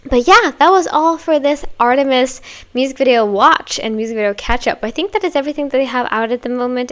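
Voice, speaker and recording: A young woman hosting a reaction video, sounding tired with a slightly worn voice, rambling casually with many fillers and restarts. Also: mid-range voice.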